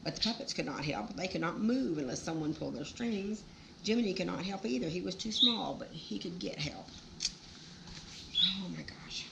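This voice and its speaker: Low-voiced lady reading a kids book, chatty asides, bit of gravel, noisy home recording. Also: expressive, moderate pace. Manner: folksy, exclaiming. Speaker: children's storyteller. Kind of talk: animated storybook read-aloud with chatty asides.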